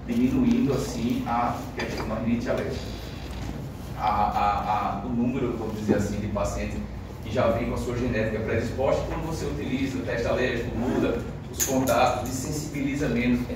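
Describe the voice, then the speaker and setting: A Brazilian Portuguese-accented man lectures a class in a loose, conversational way, peppering his points with quick check-ins. He sounds a cold-ridden teacher caught from far across a very echoey room, with steady background noise. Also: mid-range voice. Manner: conversational, frequent fillers and tag questions. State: has a cold. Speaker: medical lecturer.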